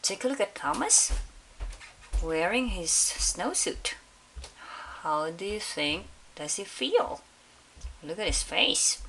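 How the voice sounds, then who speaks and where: A woman reads a children's story aloud with a very animated, lowish voice that has some creak in it. She pauses to question her young listeners. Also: rough recording. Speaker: picture-book reader for children. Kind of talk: expressive read-aloud storytelling. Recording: faint background hiss.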